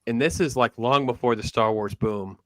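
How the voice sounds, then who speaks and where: A man's voice: mid-range voice, moderate pace, dry recording. A middle-aged man hosting a livestream in a casual, conversational style. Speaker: livestream host.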